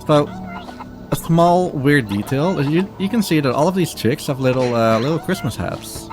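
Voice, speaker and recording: A man giving casual live commentary as he speedruns a game, halting and repeating phrases as he goes. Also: fairly high pitch, animated. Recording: game music behind the voice.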